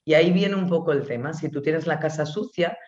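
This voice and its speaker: A woman with a Spanish accent, an author answering interview questions slowly and thoughtfully, pausing often. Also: low voice, darkish tone, roomy sound, dull audio. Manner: slow, thoughtful, with many pauses and fillers. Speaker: author and guest.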